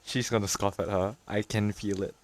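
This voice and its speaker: Very low-voiced woman reacting casually. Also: fairly even intonation, normal speed, noisy background. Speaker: streamer playing a game. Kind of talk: casual reactions to a video game.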